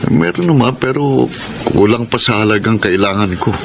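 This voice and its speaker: A man's voice: medium pitch, lively intonation, moderate pace. A man playing a kind, honest, middle-aged taxi driver and family man in a radio drama, with a Filipino accent. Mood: curious, wistful. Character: hard-pressed, kind, honest taxi-driver father and husband. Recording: clear background noise and ambience.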